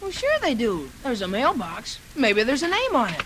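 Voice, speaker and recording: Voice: medium pitch, wildly swooping pitch, normal speed. A performer playing an eager, nosy young boy in an old radio drama, colloquial and pushy. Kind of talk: 1940s radio acting. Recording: noisy old radio recording.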